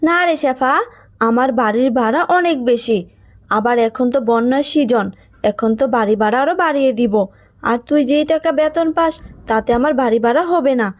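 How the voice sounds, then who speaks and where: Woman playing greedy landlady, high-pitched, dismissive, curt, cold-hearted, cartoon. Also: a little fast, close to an everyday voice. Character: greedy, unfeeling landlady refusing her maid shelter. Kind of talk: Bengali cartoon dub.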